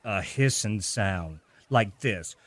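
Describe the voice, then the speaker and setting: A man playing a slow, rambling, easygoing country farmer with a hesitant drawl in a radio play. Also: fairly low pitch, animated, fairly bright tone, exaggerated voice. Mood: slow, folksy.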